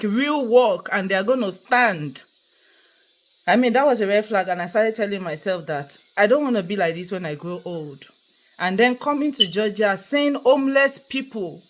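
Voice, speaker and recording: A woman's voice: medium pitch, low-quality recording. A young Nigerian woman with a Nigerian accent, vlogging in a casual, earnest storytelling monologue and repeating points for emphasis.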